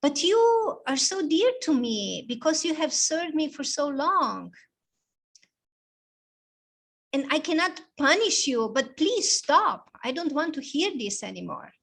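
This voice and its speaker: A woman's voice: sits high, sing-song, unhurried, vocal fry, few pauses, a bit bright, pitched above the performer's own voice, exaggerated voice. A woman playing an emotional queen. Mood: stern. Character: emotional young queen, easily swayed.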